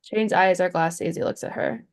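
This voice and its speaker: A woman reading stage directions as scripted narration, with a creaky voice. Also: lowish voice, brisk.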